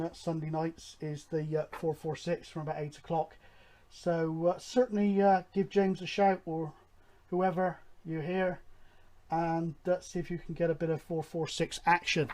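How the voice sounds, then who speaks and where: A man presenting a hobby show, talking casually and in a halting, rambling, informal way to viewers. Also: high-pitched, darkish tone. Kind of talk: casual update and announcements to viewers.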